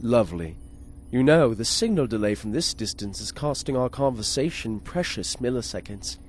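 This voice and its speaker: An actor playing a polite, formal shipboard artificial intelligence with a gleeful murderous streak, in a 1950s-style space radio serial. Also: male voice, medium pitch, expressive, normal speed, soft background music, natural voice. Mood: courtly, flirtatious. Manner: formal, courteous, precise wording. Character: polite, formal male ship's computer with murderous glee.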